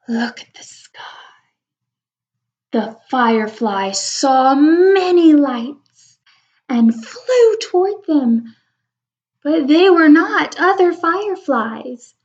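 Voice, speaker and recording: A woman reading a children's picture book aloud, with expressive, wondering asides as if talking to young children. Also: sits high, extremely slow, pauses often. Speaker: read-aloud storyteller. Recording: dull lo-fi recording.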